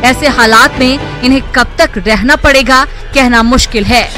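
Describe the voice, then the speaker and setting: A woman reading scripted regional news narration in a formal, steady way. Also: sits high, moderate pace, over music. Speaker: news anchor.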